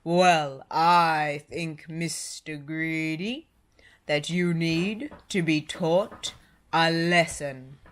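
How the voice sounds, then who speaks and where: Lady doing a big bellowing giant man, mocking and stern, storybook style, drags his words out. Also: slight background noise. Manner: booming, bellowing, drawn-out words. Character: thundering male giant, huge, stern, mocking.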